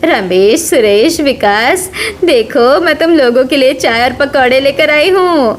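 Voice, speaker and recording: A woman playing an anxious, motherly older mother-in-law, higher-pitched. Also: lively intonation, a little slow, slight background noise. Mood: pleading, motherly. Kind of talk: Hindi animated family story acting.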